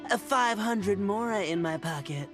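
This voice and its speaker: A man's voice: sits high, expressive, unhurried, fairly bright tone, faint music behind. An actor playing a cocky, playful young man in a video game, with a teasing, theatrical delivery. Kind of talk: animated video game voice acting.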